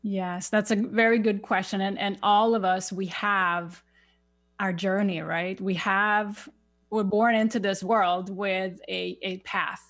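Swedish-accented woman, radio guest, slow halting delivery, creaky tone. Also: medium pitch, steady tone, a bit bright. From Sweden. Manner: halting, searches for words. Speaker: guest, spiritual life coach. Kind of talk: radio interview.

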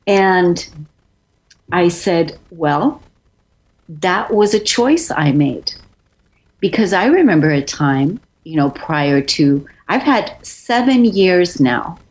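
A woman's voice: lowish voice, poor audio. A woman in her fifties telling a personal story in an effusive way, often repeating words for emphasis. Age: 50s.

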